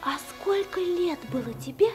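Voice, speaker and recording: Radio play: naive, inquisitive little girl, extremely high childlike voice, earnest delivery. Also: expressive, moderate pace, over music. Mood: wistful, earnest. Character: earnest, curious nine-year-old girl. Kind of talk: Soviet radio play acting.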